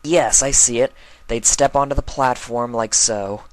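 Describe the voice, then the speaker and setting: An earnest young pony mare from a storybook, acted out by a man, pitched well above his natural voice. She is eager and anxious, talking things through aloud in a dry, close sound. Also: measured pace, dry recording, natural voice. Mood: curious, excited.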